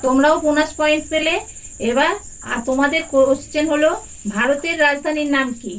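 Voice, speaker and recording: A performer acts out an auntie doll running a children's quiz in a puppet show. The voice is high, and the manner is encouraging and deliberate. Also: steady tone, fairly bright tone, poor audio. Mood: encouraging, brisk. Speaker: quiz host. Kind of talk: puppet doll story voice acting.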